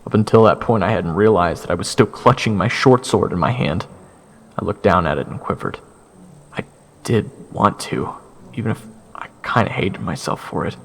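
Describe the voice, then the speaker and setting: Man acting a gravelly, low-voiced young swordsman. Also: a bit bright, slight background noise. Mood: brooding. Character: nervous young swordsman recounting his dragon encounter. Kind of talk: first-person dramatic story narration with acted dialogue. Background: fantasy game-style music.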